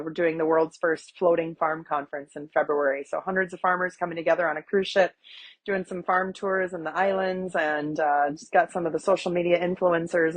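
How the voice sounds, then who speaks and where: A woman in her forties from Wisconsin, stuffy from a cold, telling her story in a chatty, animated podcast interview with many fillers. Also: lowish voice. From Wisconsin, USA. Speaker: guest, agriculture advocate. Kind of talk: conversational interview.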